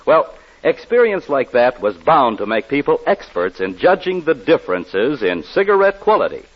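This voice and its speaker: A man delivering an emphatic, folksy 1940s radio advertising pitch as a commercial announcer. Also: very animated, normal speed. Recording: muffled old radio recording.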